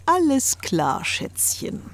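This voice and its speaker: A woman's voice: high-pitched, wildly swooping pitch, takes it slowly, full character voice. Woman acting a flirty, theatrical, swooping storyteller confidante. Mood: teasing, coaxing. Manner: playful, cooing, theatrical, uses pet names. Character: teasing, flirtatious female storyteller and saucy confidante to a witch. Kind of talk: scripted comic audio drama.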